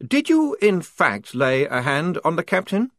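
A man's voice: on the high side, very animated, measured pace, a bit bright, dry recording, clean studio sound, voice pushed up, exaggerated voice. A man voicing a nervous but defiant young army lieutenant in a storybook, with precise, officious speech. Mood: pleased, probing.

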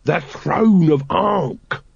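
A man's voice: on the high side, extremely slow, creaky, slightly rough, no echo, muffled recording, voice pushed up, full character voice. A man voicing a wheedling, whiny male street sausage seller in a storybook reading, with sing-song sales patter. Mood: hawking.

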